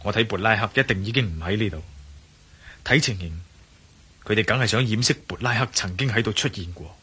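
A man's voice: fairly low pitch, brisk, sharp tone, breathy edge, full character voice. A man playing a bold, suspicious adventurer in a radio drama, speaking Hong Kong Cantonese in a commanding manner. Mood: suspicious, musing.

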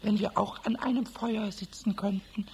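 A man voicing a cheeky, childlike little kobold in a children's radio play, excitable and curious, stammering and repeating words eagerly. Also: high voice, normal speed, creaky. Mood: wistful, eager. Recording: noisy 1970s radio play recording.

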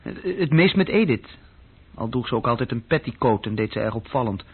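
Restless young office clerk, bitter, husky voice, halting delivery, radio drama, muffled recording. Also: male voice, medium pitch, animated. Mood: confiding. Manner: halting, searching for words. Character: bored, self-deprecating young male bookkeeping clerk, restless and bitter.

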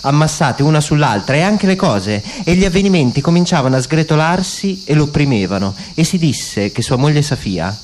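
A man performing dramatic literary narration for a radio play, telling the story in measured, flowing phrases. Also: a little high, very animated, a little mellow, a little hiss or hum.

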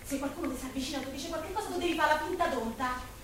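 A woman's voice: sits high, racing, fairly bright tone. An actress playing a bossy, hard-up working-class woman in a stage play, with an emphatic, sarcastic manner and a colloquial Roman accent. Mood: bossy, instructing. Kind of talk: Italian popular stage comedy-drama dialogue. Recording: very echoey, noisy stage recording from far off.

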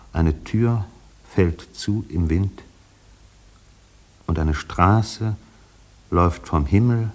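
An actor playing a grave, reflective narrator of an island tale, measured and lyrical, in a literary radio play. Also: male voice, sits low, a little mellow, exaggerated voice. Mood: elegiac.

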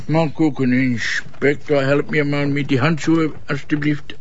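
Man acting a slow, theatrical German forensic doctor. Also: fairly high pitch, steady tone, slight background noise, natural voice. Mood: drawling. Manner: mixes German and French words into speech. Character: courtly, theatrical male forensic doctor with foreign phrases. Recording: dull TV soundtrack.

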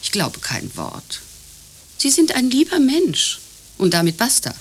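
An actress playing an overbearing, prim hostess who swings from cooing to indignant scolding in a satirical radio play. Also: female voice, on the high side, wildly swooping pitch, a little slow, a little hiss or hum. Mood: cooing, insistent. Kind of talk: German radio play acting, satirical grotesque.

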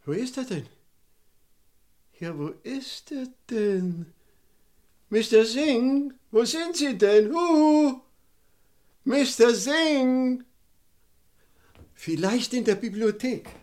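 An actor playing a flustered, eccentric gentleman professor in a crime radio play, fussy and excitable, with a tendency to stammer. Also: very high voice, very slow, long pauses, exaggerated voice. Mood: anxious calling.

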